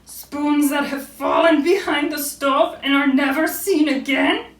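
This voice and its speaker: A woman voicing a self-pitying male owl from a storybook, with a drawn-out, plaintive delivery. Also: high voice, big pitch swings, a bit bright, voice pushed up, full character voice. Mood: tearful, mournful. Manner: drawn-out, tearful wailing. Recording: roomy, distant from the mic.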